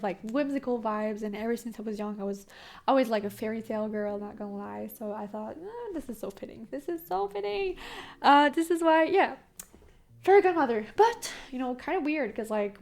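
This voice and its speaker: A young woman from Quebec with a Québécois French accent, speaking English as her second language. She chats to camera in a fast, excitable, rambling way, with lots of fillers and restarts as she stumbles over words. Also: a little high. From Quebec, Canada. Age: young adult. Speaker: tarot content creator. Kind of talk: casual chat to camera.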